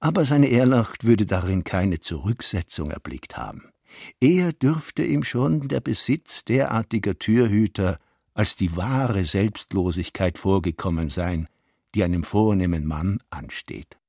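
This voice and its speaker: A man with a low, dark voice narrates a literary radio play. The voice is husky, and the sound is very dry and close. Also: lively intonation, a little slow, dry recording.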